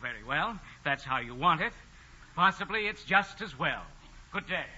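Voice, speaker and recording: An actor playing a stuffy, flustered fiancé in a 1940s radio comedy, stammering, stiff and pompous. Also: male voice, on the high side, animated, moderate pace, sharp tone, full character voice. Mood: affronted. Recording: muffled, noisy vintage radio broadcast.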